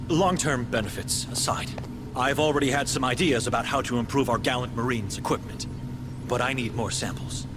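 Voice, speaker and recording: An actor playing an eager, self-important research scientist with florid, coaxing phrasing, in a theatrical video game style. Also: male voice, mid-range voice, fairly quick, noisy background, natural voice. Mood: enthusiastic, florid. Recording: music clearly audible behind voice. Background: background music.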